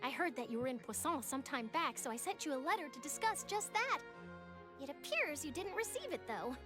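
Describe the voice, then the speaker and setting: A woman's voice: high voice, big pitch swings, a little fast. A woman playing an eager, chatty young newspaper reporter in an anime-style video game. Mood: reassuring. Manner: exclamatory, chatty, teasing. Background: background music.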